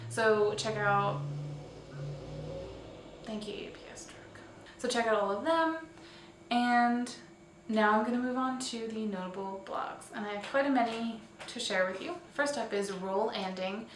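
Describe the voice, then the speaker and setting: A woman presents a casual online roundup in an informal, chatty way, a little tentative and quick to correct herself. She sounds set back from the microphone in an echoey room, with faint noise underneath. Her voice sits at a middle pitch. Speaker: host of a weekly online roleplay community show. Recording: echoey room, distant mic, faint hiss.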